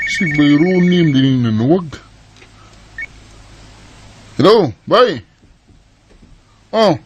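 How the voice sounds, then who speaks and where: A man's voice: sits high, exaggerated voice. A man acting an affectionate, easygoing young husband and father with a Bisaya Filipino accent in a radio drama. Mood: casual, chummy.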